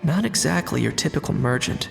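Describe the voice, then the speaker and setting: An actor playing an earnest, observant young man in a video game, speaking in a measured, inquisitive way. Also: a little high, expressive, vocal fry, long reverb tail, soft background music. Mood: suspicious, musing. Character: earnest, observant young male investigator, mild and thoughtful. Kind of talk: video game voice acting, narrating and musing.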